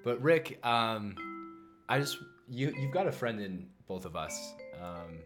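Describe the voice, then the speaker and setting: Low-voiced male co-host, rambling improv, music bed. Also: sing-song, measured pace. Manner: rambling, stumbling restarts. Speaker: podcast co-host. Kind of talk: improvised comedy chat.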